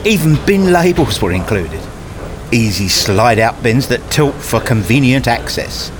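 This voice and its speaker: A man playing an earnest, pedantic husband and father who narrates his day in a radio play, with a deliberate, fussy manner. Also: medium pitch, lively intonation, background noise, natural voice. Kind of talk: radio play dialogue and first-person narration.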